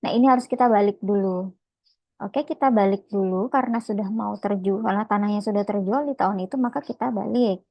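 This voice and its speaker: A woman's voice: medium pitch, brisk, creaky, no room sound, dull audio. A woman lecturing informally on accounting step by step, conversational and often checking in with short tags.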